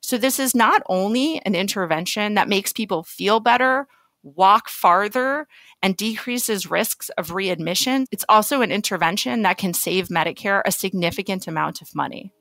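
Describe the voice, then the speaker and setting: Female expert guest for a medical podcast: explanatory, articulate, medium pitch. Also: moderate pace, a bit bright, no room sound.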